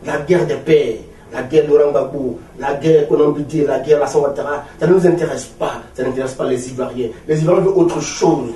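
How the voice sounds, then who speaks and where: Roomy, high-pitched Ivorian man, emphatic and rhetorical. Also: fairly even intonation, fairly quick, few pauses, warm tone. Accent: Ivorian French. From Ivory Coast. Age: 40s. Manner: emphatic, rhetorical, repeating phrases. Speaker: opposition political party leader being interviewed. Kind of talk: political interview. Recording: roomy, distant mic with faint noise.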